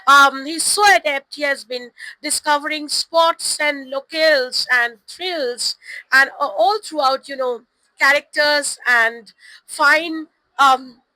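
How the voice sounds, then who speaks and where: Hoarse woman, effusive host, sharp bright tone, high voice. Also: slow, dry recording, low-quality recording. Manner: effusive, formal introductions. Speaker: publisher's host. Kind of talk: event introduction. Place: book fair stall.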